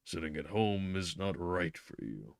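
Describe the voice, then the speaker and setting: A man's voice: low-pitched, sing-song, measured pace, gravelly, no echo, studio quality, full character voice. A man voicing a stern middle-aged doctor who lectures insistently and stresses his words. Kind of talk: reading a novel aloud.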